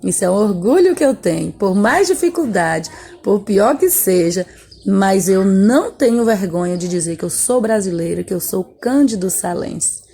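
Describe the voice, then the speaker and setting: A woman's voice: mid-range voice, lively intonation, moderate pace, gravelly, dark tone. A woman from Bahia, teaching a chatty remote lesson from a textbook and talking to her pupils directly. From Bahia, Brazil. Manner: chatty, friendly, often tags "né?" and speaks to pupils directly. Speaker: schoolteacher.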